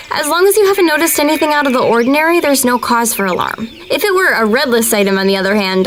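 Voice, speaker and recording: An actress playing an earnest young female government video analyst who speaks with calm customer-service politeness. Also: a little high, background noise, natural voice. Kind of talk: audio drama acting.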